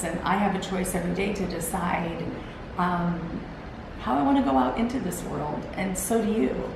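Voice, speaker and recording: A tired woman with a gravelly, slightly low voice talks earnestly to a camera on a timer. She is encouraging and rambles along, restarting her sentences. The room echoes strongly, with a steady hiss underneath. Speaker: host. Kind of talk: solo motivational talk.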